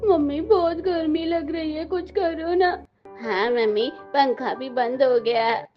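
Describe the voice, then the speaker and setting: A high, whining little kid, voiced by a woman in a cartoon, dragging out each plea slowly. The sound is dull and lo-fi, with music behind. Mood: whiny, uncomfortable. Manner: whining, drawn-out pleading. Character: whiny small child suffering in summer heat. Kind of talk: Hindi cartoon dubbing.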